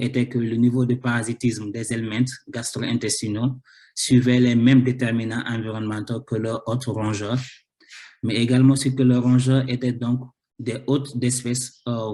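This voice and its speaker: A man's voice: mid-range voice, little pitch movement, moderate pace, mellow. A man with a cold giving an academic thesis talk, hesitant, with many fillers and repeated words. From Senegal. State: has a cold. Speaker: researcher presenting his thesis.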